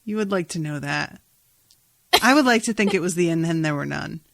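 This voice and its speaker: A woman's voice: low voice, animated, a little fast, creaky, a bit bright, dry recording. Casually sweary woman podcaster, close dry sound. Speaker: podcast co-host. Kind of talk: casual TV recap chat.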